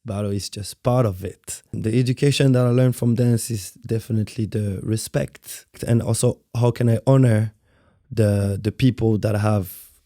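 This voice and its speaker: A man in his thirties from France with a French accent, a dance guest speaking in a soft, halting way and often repeating words as he searches for phrasing. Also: fairly low pitch, fairly even intonation, dry recording, studio quality. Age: 30s. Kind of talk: interview conversation.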